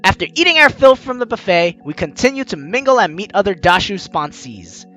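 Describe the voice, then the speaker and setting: Bright, high-pitched, animated male gamer. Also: a little fast, soft background music. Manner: expressive, acts out lines as he reads. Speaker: let's play host. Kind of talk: gaming commentary, reading game text aloud.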